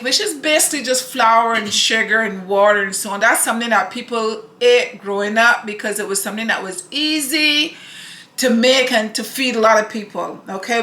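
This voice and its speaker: A woman's voice: a little high, sharp tone. A woman from Barbados, hosting a home cooking demonstration in a chatty, affectionate way that often checks in with the viewer. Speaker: cooking channel host. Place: home kitchen. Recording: echoey kitchen with dishes clattering.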